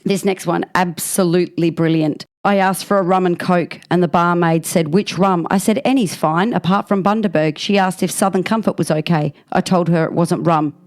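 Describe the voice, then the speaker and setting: A woman's voice: on the low side, fairly even intonation, moderate pace, hardly any pauses, a little mellow. Australian woman podcaster swapping funny stories. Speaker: podcast co-host. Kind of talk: casual comic chat, reading out listener stories.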